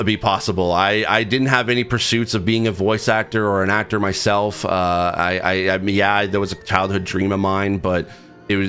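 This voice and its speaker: A man with a very deep-pitched voice talks as a gaming video host. His delivery is casual, earnest and rambling, with a heartfelt feel, over faint music. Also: a bit bright. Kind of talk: casual talk to camera.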